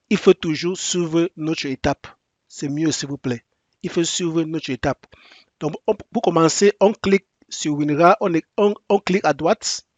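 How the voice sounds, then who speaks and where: Brief: male presenter for a software tutorial, native English speaker working in French, fairly high voice, dry close sound, repeats each step and restarts his sentences. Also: no echo. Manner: step-by-step, repetitive instructions; halting non-native French with frequent restarts. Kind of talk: screen-recorded software tutorial.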